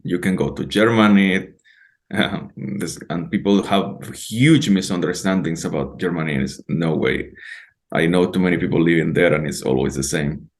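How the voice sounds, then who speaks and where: Low-voiced man from El Salvador. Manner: measured, with hedges like "let's say" and "I would say". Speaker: podcast guest. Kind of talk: casual interview.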